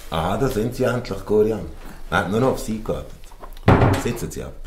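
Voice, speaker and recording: Deep, pompous Swiss German mayor. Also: male voice, animated, brisk, a little mellow, reverberant, slight background noise. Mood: stern, official. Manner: pompous, official. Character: stern, self-important town mayor, quick to outrage. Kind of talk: audio drama dialogue in dialect.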